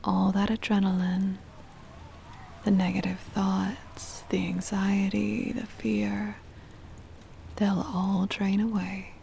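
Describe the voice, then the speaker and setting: A woman voicing a possessive, doting vampire mistress in a soft, breathy ASMR roleplay, soothing, hypnotic and commanding. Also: medium pitch, flat delivery, reverberant, slight background noise. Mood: hypnotic, seductive. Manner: slow, soothing, hypnotic, controlling. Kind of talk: ASMR roleplay, intimate whispering and murmuring.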